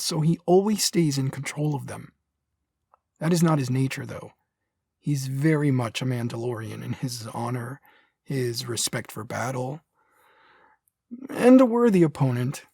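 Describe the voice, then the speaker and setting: Guy acting elegant sith lord, husky mid voice, formal and courteous, very dry recording. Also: on the high side, no room sound. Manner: formal, measured, gently reassuring. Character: poised, courteous Sith noble, indulgently fond of darkness. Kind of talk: audio drama acting.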